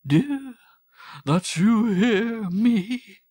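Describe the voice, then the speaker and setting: Guy putting on a desperate mom, high breathy whispery pleading, dry close mic. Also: darkish tone, dry recording, full character voice. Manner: breathy, pleading, slow and strained. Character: anguished French mother, pleading and whispering.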